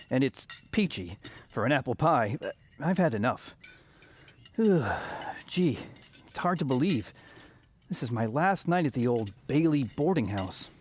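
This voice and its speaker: Radio drama lead: actor as restless small-town young man, boyish and earnest, higher-pitched, very lively delivery. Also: husky. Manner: earnest, boyish, halting when flustered.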